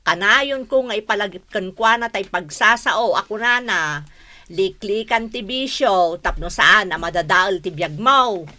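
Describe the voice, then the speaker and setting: A woman's voice: mid-range voice, unhurried, pushed and bright. A woman playing an exasperated, long-suffering wife in a radio drama, earnest, with an Ilocano accent.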